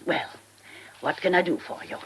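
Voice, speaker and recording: An actress playing a genteel, reclusive, self-pitying widow in a radio comedy drama, with an upper-class English accent and a fluttery, rambling manner. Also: female voice, moderate pace, a bit bright, slight background noise, natural voice. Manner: fluttery, rambling, grandly vague.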